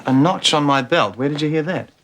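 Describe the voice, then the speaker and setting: An actor playing a confident, teasing young doctor in a soap opera. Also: male voice, a little high, brisk, fairly bright tone, lightly acted. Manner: smooth, teasing. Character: cocky, charming young male doctor. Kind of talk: 1990s TV soap acting.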